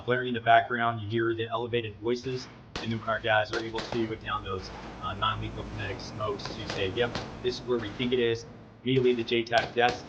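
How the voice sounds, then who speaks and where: Low, even-toned man, slightly muffled. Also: noisy background. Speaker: military pilot. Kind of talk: interview account with military jargon.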